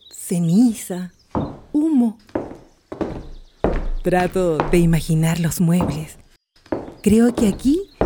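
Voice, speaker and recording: An actress playing a nostalgic, grieving wife in a radio drama, with a wistful, emotional delivery. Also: female voice, fairly low pitch. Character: grieving, nostalgic wife clinging to her late parents' house. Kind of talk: Spanish-language radio drama acting. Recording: clicky recording with faint noise.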